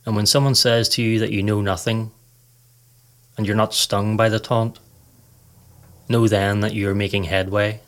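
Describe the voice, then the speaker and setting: Low, even-toned man guiding meditation. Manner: calm, measured, instructive. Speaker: podcast host, meditation guide. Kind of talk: scripted guided meditation narration.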